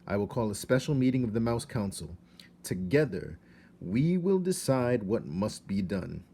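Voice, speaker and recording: A man's voice: lowish voice, big pitch swings, normal speed. Storybook father mouse, performed by a man, frantic and indignant, big swooping delivery with some background noise. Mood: grave resolve. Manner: exclamatory, dramatic, shouting. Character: frantic, outraged father mouse, fond of melodrama. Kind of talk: storybook character voice.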